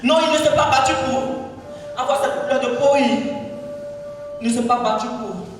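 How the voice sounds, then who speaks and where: A man's voice: very high-pitched, moderate pace. A man performing spoken-word poetry on stage, declaiming in repeated lines and having the crowd chant them back. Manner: declamatory, repetitive, leads the crowd in call-and-response. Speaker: slam poet. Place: large indoor hall at a cultural event. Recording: echoey hall, mic far off, music behind.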